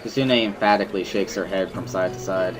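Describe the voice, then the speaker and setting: A man reading first-person visual novel narration in a dramatic, expressive storytelling manner. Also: low voice, brisk.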